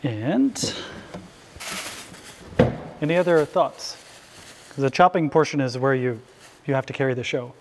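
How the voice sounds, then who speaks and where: A man's voice: medium pitch, measured pace, pauses often. A middle-aged man, a chef giving an informal live cooking demonstration in an easygoing, conversational way. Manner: easygoing, conversational, invites questions. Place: indoor hall before a small audience.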